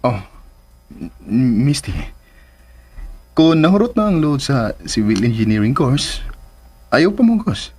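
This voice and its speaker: A man's voice: mid-range voice, sing-song, takes it slowly, pauses often, darkish tone, slightly rough, soft background music, full character voice. A man acting a friendly, teasing young engineer in a Cebuano radio drama, full of surprised questions.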